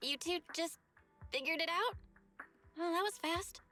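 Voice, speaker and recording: A woman voicing a level-headed young go-between in a video game, expressive, friendly and direct. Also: very high-pitched, unhurried, soft background music, full character voice. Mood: surprised.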